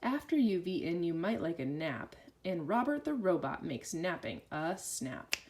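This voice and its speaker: A woman reading a rhyming children's story aloud with an expressive, sing-song delivery. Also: fairly low pitch, a little slow, slight background noise.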